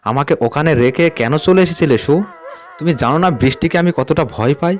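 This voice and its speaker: A man's voice: fairly high pitch, normal speed, pitched above the performer's own voice, natural voice. Man as an eerie, reproachful undead husband.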